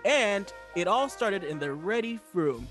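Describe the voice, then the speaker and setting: A man puts on a grand, playful announcer from 1940s radio drama. His voice is high and swings widely through theatrical, drawn-out phrases, with music behind it. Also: expressive.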